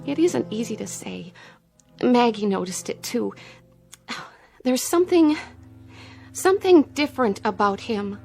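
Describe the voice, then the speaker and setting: Troubled officer's wife, slightly high voice, sci-fi radio drama with music behind. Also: female voice, lively intonation, a little slow, creaky, pauses often, darkish tone. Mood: anxious, pleading. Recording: music bed underneath.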